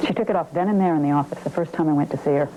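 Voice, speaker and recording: A woman's voice: low voice, racing, noisy background. A woman recounting her own story conversationally in an interview.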